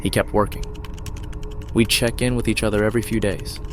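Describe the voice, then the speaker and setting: A man acting as a first-person narrator of an eerie audio drama. His voice is low, and he tells the story in a scripted, confiding way. Music runs clearly behind him. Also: flat delivery, brisk, natural voice. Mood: intrigued, ominous. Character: male storyteller recounting his programmer friend's eerie tale.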